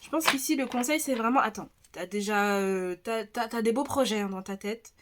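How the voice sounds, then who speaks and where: A woman with a French accent giving a relaxed tarot reading, talking in a chatty, hesitant way with many restarts and a slightly stuffy nose. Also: a little high, moderate pace, noisy background. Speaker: tarot reader. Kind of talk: casual tarot reading video.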